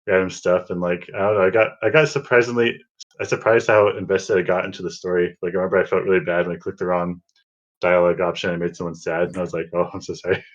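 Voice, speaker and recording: A man's voice: low-pitched, flat delivery, fast talker, muffled recording. A man hosting a casual podcast chat in a loose, rambling way. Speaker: podcast host.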